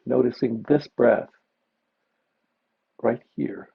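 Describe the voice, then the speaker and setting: A man teaching meditation, giving gentle, unhurried guided mindfulness instructions with pauses. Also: medium pitch, gravelly, slightly rough. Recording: dull, muffled lo-fi recording.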